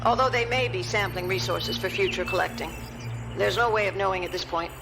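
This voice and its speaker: An actress plays an analytical female scientist in a radio drama. She speaks at a middling pitch in a measured, explanatory way. Clear music runs behind her briefing. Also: lightly acted. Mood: wry, thoughtful. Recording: music under the voice.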